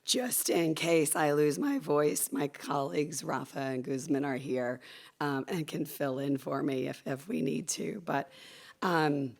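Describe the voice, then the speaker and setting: A woman addresses a conference audience through a handheld microphone, her voice hoarse and low with a gravelly fry. She is enthusiastic but stumbles, filling gaps with ums and restarting her sentences. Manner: many fillers and restarts, enthusiastic. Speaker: conference speaker. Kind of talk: conference presentation.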